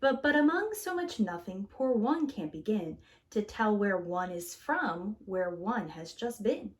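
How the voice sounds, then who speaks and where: Very animated woman reading to children. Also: mid-range voice. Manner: theatrical and animated, with gasps and drawn-out key words. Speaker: teacher reading a picture book aloud to preschoolers. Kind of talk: expressive children's storybook read-aloud.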